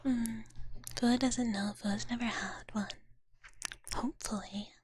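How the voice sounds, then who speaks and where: Slow, whispering woman doing playful ASMR. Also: a little high, steady tone. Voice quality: breathy whisper. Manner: playful, talks about herself in third person. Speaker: ASMR livestreamer. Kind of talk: whispered ASMR, casual chat to viewers. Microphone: close ASMR microphone.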